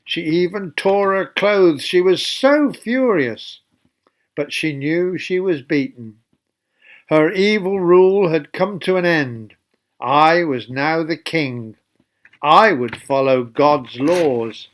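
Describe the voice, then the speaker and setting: Guy telling kids a bible story, kinda high voice, really slow and emphatic, talks right to them. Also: animated. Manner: deliberate, emphatic, addressing young listeners directly. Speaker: children's story reader. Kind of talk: expressive children's Bible storytelling, then gentle address and prayer.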